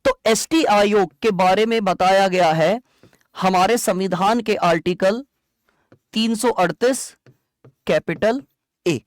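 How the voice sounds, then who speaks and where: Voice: mid-range voice, slow pace, pauses often, no echo. Exam teacher, close dry sound. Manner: asks rhetorical questions and answers them; conversational, repeats key points, checks understanding. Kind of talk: explanatory classroom lecture.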